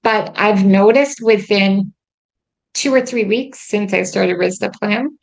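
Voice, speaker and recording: A woman hosting a casual chat, with a gravelly, creaky edge to her voice. She talks earnestly and chattily, filling her pauses with ums. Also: medium pitch. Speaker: host. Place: lives in Quebec.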